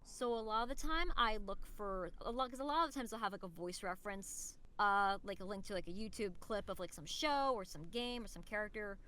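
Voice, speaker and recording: Woman, bright crisp-edged voice, mid pitch, informal interview guest, thinking aloud. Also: brisk, few pauses. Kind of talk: casual interview chat.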